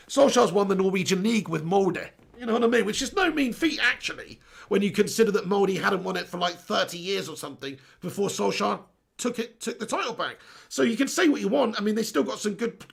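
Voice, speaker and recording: A man with a hoarse, worn-out voice hosts a casual live stream, talking in loose, rambling runs. His voice sits fairly high and crackles with heavy fry. It sounds husky throughout. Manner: rambling, repeats himself, reads viewer comments aloud. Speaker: host of a fan live stream.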